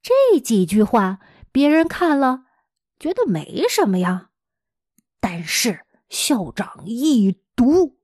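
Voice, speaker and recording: Lady teacher doing kids storytime, highish voice, really expressive, very dry recording. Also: takes it slowly, no echo. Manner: dramatic, animated delivery with rhetorical questions addressed to young listeners. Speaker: teacher hosting a children's story podcast.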